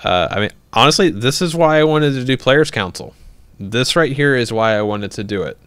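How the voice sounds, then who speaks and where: A man's voice: medium pitch, expressive, moderate pace, fairly bright tone, clean tone, no echo. Man, young adult, stream host, casual chat, rambling with fillers, dry close sound. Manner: rambling, many fillers and restarts, laughs easily. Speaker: livestream host. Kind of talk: casual chat answering viewer questions.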